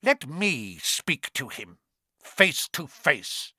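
Evil dark wizard for an audiobook, high hissy snarl, cruel, slow and drawn out. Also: male voice, sing-song, dry recording, studio quality, heavily acted. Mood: commanding. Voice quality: high, hissing, breathy snarl. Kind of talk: dramatic audiobook character voice.

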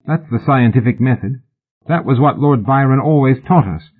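A man voicing an excitable, loyal young sidekick in an audiobook, shrill, shaky and defiant. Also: normal speed, breathy edge, narrow, tinny audio, close to an everyday voice. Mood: earnest. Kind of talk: audiobook character acting.

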